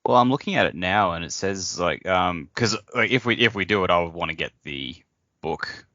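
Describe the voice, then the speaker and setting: A man's voice: low voice, lively intonation, moderate pace, bright, forceful tone, dry recording, highs cut off. A man chatting casually as a podcast co-host, hesitantly repeating words as he thinks. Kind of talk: casual chat.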